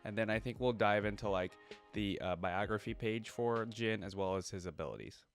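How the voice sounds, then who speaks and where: A man's voice: on the low side, moderate pace. A man hosting a reaction video, giving casual, enthusiastic commentary and thinking aloud as he watches. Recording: loud orchestral music behind voice. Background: game trailer and theme music.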